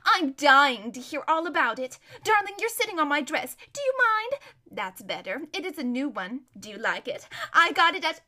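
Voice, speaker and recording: A woman reading a storybook, voicing a vain, giggly young noblewoman who talks in a loud, gushing, lazy drawl. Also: high voice, big pitch swings, moderate pace, pitched above the performer's own voice, heavily acted. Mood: gushing, amused.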